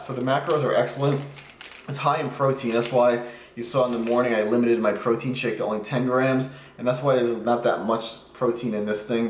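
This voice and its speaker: A man's voice: medium pitch, a little hiss or hum. A young man in his twenties talks casually and cheerfully to his camera, explaining his eating habits as he goes. His voice sits in the middle of the male range. The small home room adds a roomy echo. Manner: upbeat, explains nutrition while eating. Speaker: fitness vlogger. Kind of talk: casual food vlog.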